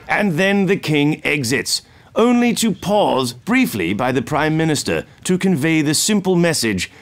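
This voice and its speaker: An American man, a comedian, narrating a scripted mock nature documentary in a deadpan, mock-grand manner. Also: fairly high pitch. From United States.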